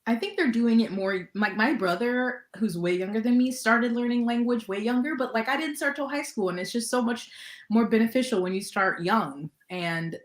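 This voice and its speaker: A woman's voice: on the high side, normal speed. A young adult woman co-hosts a casual chat. She rambles from one thought to the next, fills her talk with "like" and laughs often. Her voice echoes in the room.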